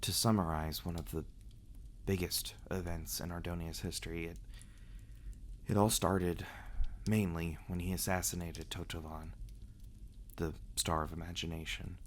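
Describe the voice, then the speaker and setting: A man playing a reclusive, brooding vampire who confides earnestly and haltingly, in an ASMR roleplay audio drama style. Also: sits low, little pitch movement, slight background noise, natural voice. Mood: grave, regretful. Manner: halting, hesitant delivery with dramatic pauses and sighs.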